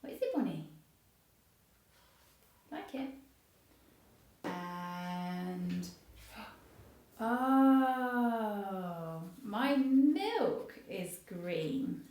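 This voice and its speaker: A woman's voice: mid-range voice, animated, very slow, pauses often, darkish tone. A woman reading a story and chatting to young viewers in simple, child-directed phrases. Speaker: playgroup story reader and presenter.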